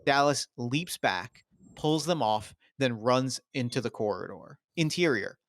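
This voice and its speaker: A man's voice: mid-range voice, animated, unhurried, dry recording, studio quality. A man doing scripted narration, reading out the stage directions of a screenplay at a table read.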